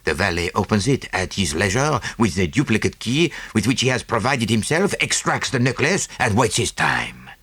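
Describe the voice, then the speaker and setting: A man's voice: fairly low pitch, very animated, normal speed, hardly any pauses, fairly bright tone, breathy edge, no echo, exaggerated voice. A man voicing a small, self-satisfied Belgian detective with a French-speaking Belgian accent and a didactic, theatrical manner in an audiobook. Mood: relishing explanation. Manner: didactic, punctuated with "eh?" and French phrases.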